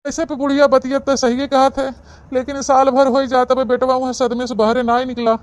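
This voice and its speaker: Woman voicing a high-pitched old Awadhi farmer husband. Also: flat delivery, a little fast, close to an everyday voice. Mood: reassuring. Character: gruff but soft-hearted old village husband and farmer. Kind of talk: cartoon comedy acting.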